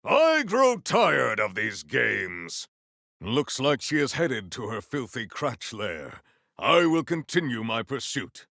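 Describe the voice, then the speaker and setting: An actor playing a proud, formal sci-fi warrior in a video game, speaking in a grandiose, deliberate and earnest way. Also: male voice, mid-range voice, huge pitch swings, gravelly, bright, forceful tone, no room sound, clean studio sound, exaggerated voice. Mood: stern. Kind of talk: video game character voice lines.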